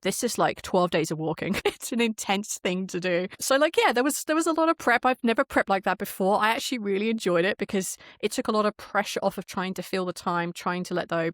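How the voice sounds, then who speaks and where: A woman's voice: medium pitch, no echo, clean studio sound. A woman speaking as a podcast guest, telling stories in a chatty, emphatic, casual way with the odd swear word. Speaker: podcast guest, game master and audio drama creator. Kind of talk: animated casual interview storytelling.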